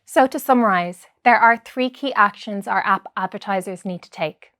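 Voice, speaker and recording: A woman's voice: mid-range voice, normal speed, a bit bright, no echo, studio quality. A woman giving a scripted instructional presentation, setting out points in order as a training presenter.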